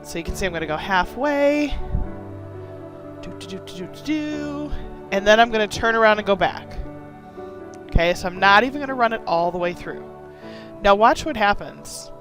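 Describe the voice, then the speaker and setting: A hoarse woman from Wisconsin hosting a casual card-making tutorial, talking with gushing enthusiasm. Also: medium pitch, lively intonation, normal speed, pauses often, a bit bright, soft background music. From Wisconsin, USA. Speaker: craft channel host.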